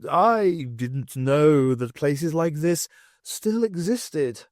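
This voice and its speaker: A man's voice: a little high, animated, extremely slow, a little mellow, no echo, studio quality. Man voicing an anxious, formal talking tomcat. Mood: uneasy, perplexed. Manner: formal, old-fashioned phrasing. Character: anxious, baffled talking male cat companion.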